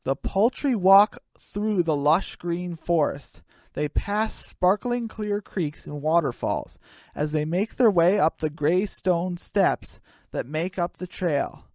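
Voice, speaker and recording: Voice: medium pitch, lively intonation, slow, darkish tone, no room sound. A narrator reading a homemade radio play as scripted storytelling.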